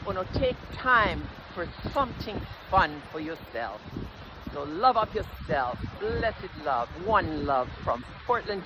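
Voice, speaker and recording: A man speaking, greeting viewers in a casual vlog from the beach. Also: sits high. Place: beach outdoors. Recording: outdoor wind and ambient noise.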